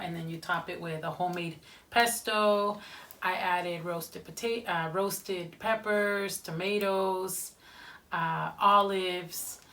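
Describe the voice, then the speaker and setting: A woman's voice: on the low side, fairly bright tone. A woman in casual family conversation, explaining things plainly like a home cook talking to her household. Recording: distant mic, faint background noise.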